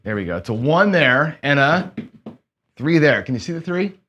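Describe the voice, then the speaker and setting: A man's voice: fairly high pitch, sing-song, normal speed, sharp tone, lo-fi sound, slight background noise. Chatty man talking through a dice game. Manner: chatty, self-talking, stammering restarts. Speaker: casino gaming host. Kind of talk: casual chat while playing craps.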